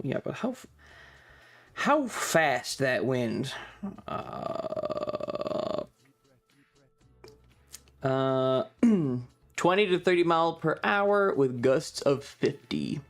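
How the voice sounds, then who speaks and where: A man's voice: medium pitch, big pitch swings, extremely slow, creaky, pauses often, soft background music. A man hosting a casual livestream, chatting with viewers in a playful, rambling, slightly distracted way. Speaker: live streamer and host.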